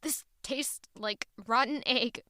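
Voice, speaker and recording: A woman's voice: on the high side, slow, sharp tone, no echo. An actress playing a guarded young woman, anxious and grieving, in an audio drama. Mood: guarded, irritable. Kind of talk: audio drama acting and first-person narration.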